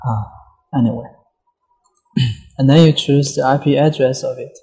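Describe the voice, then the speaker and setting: Male tutorial presenter, mellow and even-toned, explaining software steps haltingly with frequent mid-sentence pauses. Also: medium pitch, gravelly, faint music behind. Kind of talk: unscripted step-by-step software walkthrough.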